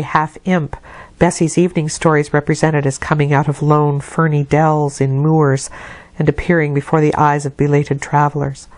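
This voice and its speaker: Low-voiced woman reading a classic novel. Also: darkish tone, no echo. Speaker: audiobook reader.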